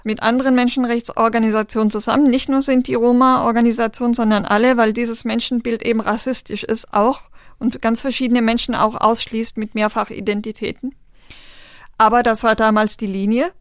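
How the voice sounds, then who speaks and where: A woman answers interview questions in an earnest, measured way, pausing with small fillers as she gathers her thoughts. Her voice sits a little high and sounds smooth and clear. The recording is dry and close. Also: flat delivery, no echo. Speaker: interview guest.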